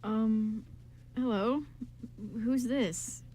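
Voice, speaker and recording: A woman's voice: fairly high pitch, fairly even intonation, very slow, warm tone, low-quality recording. A woman playing a blunt, wary but loyal male friend in an amateur radio play, with a hesitant, slangy delivery.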